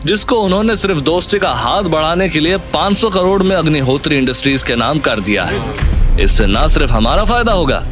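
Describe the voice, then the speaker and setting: A man's voice: a little high, fairly bright tone. A man playing a confident, considerate, folksy businessman in a Hindi audio drama. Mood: proud, formal.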